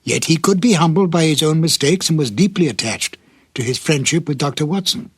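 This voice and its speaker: A man hosting a program with scripted narration, dramatic and deliberate like a storyteller, in a slightly husky voice. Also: fairly high pitch, no echo, clean studio sound. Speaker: host introducing old radio episodes.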